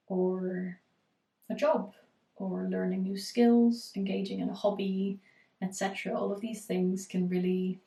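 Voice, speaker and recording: A woman coach with a cold and a hoarse edge, speaking in an even, little-varied tone. She gives reflective, steady advice, pausing on fillers. Her voice rings in a roomy space, picked up from a distance. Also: mid-range voice. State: has a cold, voice going hoarse. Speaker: eating disorder recovery coach. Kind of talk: live group coaching Q&A.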